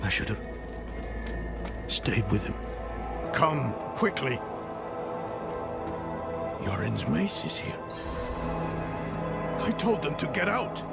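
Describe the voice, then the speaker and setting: Gravelly, weary older guardian. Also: male voice, medium pitch, big pitch swings, exaggerated voice. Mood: worried. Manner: grave, measured. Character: weary older male guardian, solemn, burdened father. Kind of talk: dark fantasy game dialogue acting. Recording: music behind the voice. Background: music.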